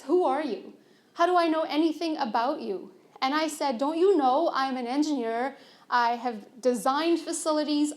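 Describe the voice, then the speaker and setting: A young adult woman, soft-spoken and earnest, telling a personal story on stage at a conference, with fillers and restarts. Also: high voice. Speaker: conference speaker.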